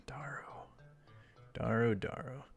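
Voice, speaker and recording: Mid-pitched man narrating a visual novel. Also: steady tone, slow pace, husky, faint music behind. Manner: reads text aloud, casual asides. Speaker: let's player.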